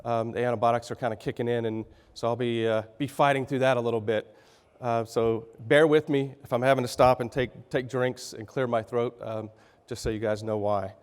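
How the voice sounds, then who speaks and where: Middle-aged texan guy, bit raspy, folksy keynote talk, long hall reverb. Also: mid-range voice, fairly even intonation, brisk. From Texas. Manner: folksy, conversational, with frequent fillers and restarts. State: slightly raspy from a sinus infection. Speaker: keynote speaker. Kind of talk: conference keynote speech. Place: large conference hall.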